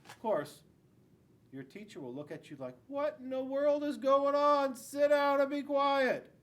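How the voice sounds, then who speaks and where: An American man in his forties reads a children's picture book aloud dramatically, putting questions to the listening children. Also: a little slow. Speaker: school resource police officer. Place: school classroom, indoors. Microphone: camera a few feet away. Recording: noticeable room noise with low rumble.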